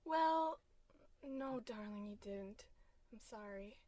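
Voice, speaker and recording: A woman's voice: mid-range voice, lively intonation, takes it slowly, warm tone, dry recording. A woman playing a long-suffering wife in an old-time radio comedy, coaxing yet tart and dryly exasperated. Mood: consoling.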